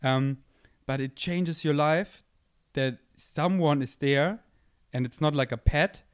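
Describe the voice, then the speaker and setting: A man's voice: fairly high pitch, slow, smooth, no room sound, studio quality. Playful young man on a handheld mic. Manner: playful, self-mocking, thinks aloud. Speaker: podcast host. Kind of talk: casual rambling monologue. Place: indoor room, seated on a couch. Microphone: handheld microphone held close.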